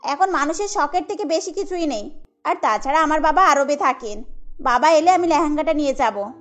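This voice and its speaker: A woman's voice: high voice, animated, brisk, fairly bright tone, faint music behind. A woman playing a boastful, envious young female friend in a cartoon, indignant and gossipy. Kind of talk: cartoon voice acting.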